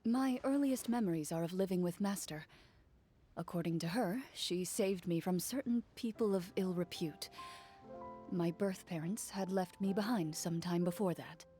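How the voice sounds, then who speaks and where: An actress playing a stoic, composed woman warrior in a video game, speaking in calm, formal, matter-of-fact phrases. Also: lowish voice, normal speed, lightly acted. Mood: calm, reflective. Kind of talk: video game character dialogue. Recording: music faintly behind the voice.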